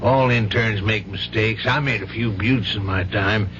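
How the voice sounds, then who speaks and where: A man plays an assured family doctor, avuncular and wry, in a lowish voice. The seventies radio drama recording is muffled and clearly noisy. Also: unhurried, natural voice. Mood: kindly, wry. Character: assured, kindly senior male family doctor, wryly amused. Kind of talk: 1970s radio drama acting. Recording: dull, noisy vintage radio broadcast.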